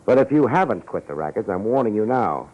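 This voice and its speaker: A man's voice: on the low side, big pitch swings, fairly quick, a little mellow. A man playing a wry, tough private detective in an old-time radio drama, with sardonic, slangy delivery. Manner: sardonic, slangy, hard-boiled. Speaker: private investigator narrator. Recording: muffled vintage radio recording with music.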